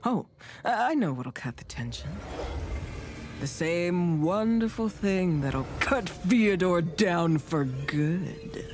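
An actress playing a wry, brooding female storyteller narrating a video game cutscene in a theatrical, dramatic way. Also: lowish voice. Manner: slow, dramatic, hushed in places, with deadpan asides. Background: music.

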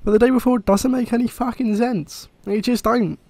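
A man's voice: very high-pitched, fairly even intonation, normal speed, darkish tone, no echo. A young man with a cold and a slightly chesty voice, ranting and swearing with exasperation over gameplay footage. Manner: exasperated, swears often, rambling. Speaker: gaming YouTuber.

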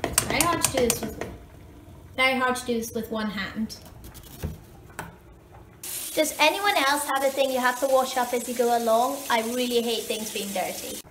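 A woman's voice: fairly high pitch, normal speed, pauses often, fairly bright tone. A young woman with a Scottish accent, chatting upbeat to camera as she cooks in a small kitchen. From Scotland. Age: young adult. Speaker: student vlogger. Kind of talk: casual cooking vlog. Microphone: camera mic, handheld and propped up. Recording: echoey kitchen with faint background noise.

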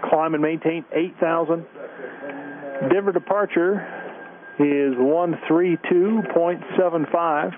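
Guy controller, slightly high voice, reading clearance on tinny aviation radio. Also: steady tone, slow pace, slight background noise. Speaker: air traffic controller. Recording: tinny narrowband tower radio.

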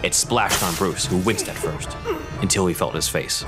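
Deep-voiced male narrator over music. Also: moderate pace. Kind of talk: scripted dramatic narration.

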